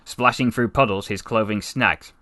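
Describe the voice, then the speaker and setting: A man reading a story as a voice actor, in a solemn, dramatic and deliberate narrating style. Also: fairly low pitch, steady tone, bright, forceful tone, dry recording, clean studio sound.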